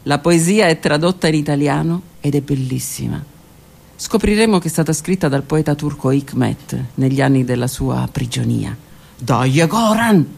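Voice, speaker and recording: Actress in an intimate stage monologue. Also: female voice, low voice, expressive, moderate pace, vocal fry, darkish tone, slightly rough. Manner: intimate, reflective, shifting between narration and direct address. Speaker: actress and theatre teacher. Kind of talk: theatrical monologue for radio.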